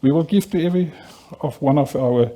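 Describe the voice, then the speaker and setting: A man's voice: on the high side, fairly quick, dark tone. A man with a South African Afrikaans accent, an Afrikaans native speaker, chairing a conference and giving a formal welcome in an auditorium, switching languages and repeating himself. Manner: repetitive, switches between Afrikaans and English.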